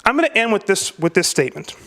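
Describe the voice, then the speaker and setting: A man delivering a prepared debate speech, emphatic and rhetorical, with deliberate pauses. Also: sits high, sing-song, pushed and bright.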